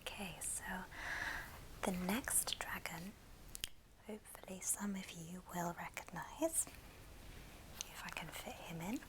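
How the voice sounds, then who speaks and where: A woman whispering gently and breathily in an unhurried ASMR show-and-tell style. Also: on the low side, lively intonation, rough recording. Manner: gentle, unhurried, soft-spoken. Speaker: ASMR video creator.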